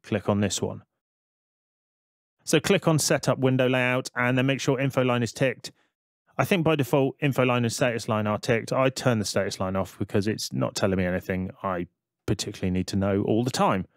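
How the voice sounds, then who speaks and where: A man narrates a software tutorial in a casual, conversational way. His voice sits at a middle pitch and is recorded close and dry. He explains each step as he goes, like a tutor talking through a screen recording. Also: a little fast, no room sound.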